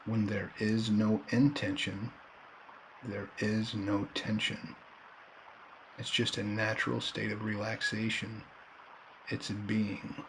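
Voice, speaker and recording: A man leading a guided meditation in a calm, unhurried manner, leaving long pauses between short phrases. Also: on the low side, creaky, slight background noise, rough recording. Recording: background nature ambience and birdsong. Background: nature and bird sounds.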